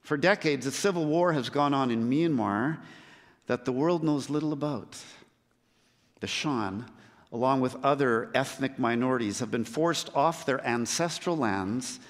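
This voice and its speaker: A man preaching a sermon as a missionary, earnest, with measured and emphatic pauses. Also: mid-range voice. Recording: long hall reverb.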